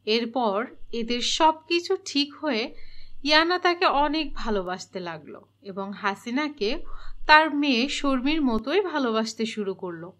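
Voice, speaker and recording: A woman's voice: a little high, lively intonation, unhurried, fairly bright tone. A woman reading scripted storybook narration for a children's cartoon.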